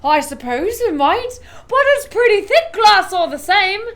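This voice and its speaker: A woman voicing an eccentric, excitable male candy maker who booms and shouts gleefully. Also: a little slow. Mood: cheerful. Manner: booming and gleeful.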